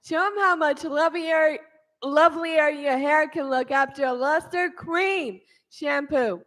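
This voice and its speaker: A woman as a high-voiced, unhurried radio announcer. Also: fairly bright tone. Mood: selling. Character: selling, old-time radio shampoo advert announcer. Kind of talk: 1940s radio advert reading.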